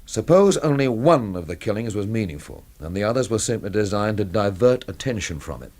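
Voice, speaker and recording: A man's voice: fairly low pitch, very animated, a little fast, darkish tone. An actor playing a weary, brooding, dryly wry man in a radio mystery drama, with a literary way of talking. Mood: guarded, wry. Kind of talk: radio drama acting and first-person narration.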